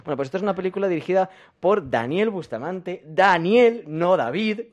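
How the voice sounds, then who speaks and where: Fast-talking playful radio host man. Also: sits high, expressive, dull audio. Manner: fast, playful, teasing, jokey asides. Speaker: radio film show host. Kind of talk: casual radio banter.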